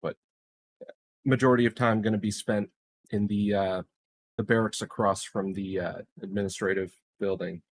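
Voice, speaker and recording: A man plays a tabletop roleplaying game and talks through his moves. His voice sits fairly low. He speaks casually and informally, in a halting, hesitant way. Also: fairly even intonation, dry recording.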